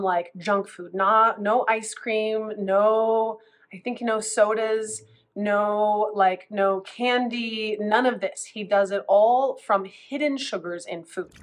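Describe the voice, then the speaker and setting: A woman in her thirties, a food coach, chatting casually in a vlog, a bit congested from a cold and rambling with restarts. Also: medium pitch.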